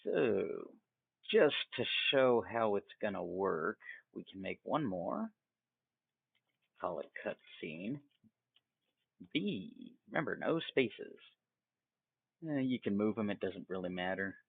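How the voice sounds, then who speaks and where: A man giving a casual step-by-step software tutorial, folksy and thinking aloud as he goes. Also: fairly low pitch, very animated, slow pace, vocal fry, long pauses, husky, no echo. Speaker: tutorial host. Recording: tinny, phone-like narrow band.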